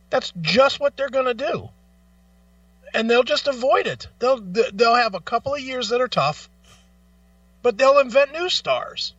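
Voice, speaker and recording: A man's voice: high voice, sharp tone, no echo, far from the mic, dull audio. A man co-hosting a radio talk show, giving opinions in a casual, blunt, profane way.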